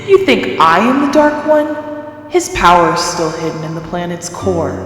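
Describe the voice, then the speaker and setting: A woman playing a resentful, taunting girl hedgehog possessed by dark energy in an audio drama. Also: lowish voice, big pitch swings, takes it slowly. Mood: taunting, menacing. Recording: echoey, long reverb, faint music behind.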